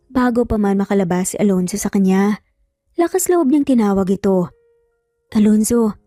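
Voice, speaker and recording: A woman's voice: a little high, mellow, no echo, clean studio sound. A woman with a Filipino accent narrating a scripted dramatic romance story as a storyteller.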